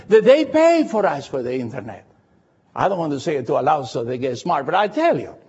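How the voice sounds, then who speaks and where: An older man with a foreign accent preaching an expository Baptist sermon with personal anecdotes, emphatically repeating short phrases in a husky voice. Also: sits high, darkish tone, dull audio.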